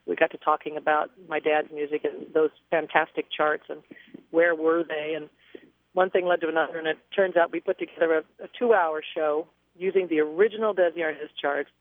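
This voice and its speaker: A woman's voice: deep voice, dry recording. Woman chatting over a phone-line call, thin tinny sound, reflective and chatty. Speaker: interview guest, singer. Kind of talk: phone-in radio interview.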